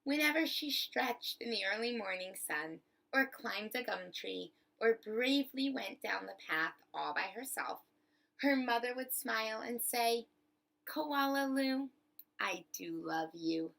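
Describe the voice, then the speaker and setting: A woman reads a children's storybook aloud at a slow, deliberate pace. Her voice is bright with a creaky edge, and she phrases expressively for young listeners, like a teacher. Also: a little high, lively intonation, low-quality recording. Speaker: teacher reading a picture book aloud.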